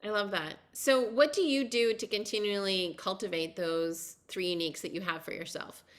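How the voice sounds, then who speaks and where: A woman's voice: mid-range voice, steady tone, normal speed. A woman interviewing a guest as a podcast host, chatty and effusive, with long, winding questions. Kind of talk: casual conversational interviewing.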